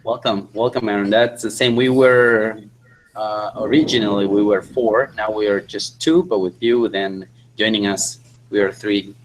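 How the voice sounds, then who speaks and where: A man's voice: fairly low pitch, fairly even intonation, normal speed, slight background noise, low-quality recording. A man, a member of an online study group, talking in brief, welcoming phrases. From Honduras. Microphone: video call.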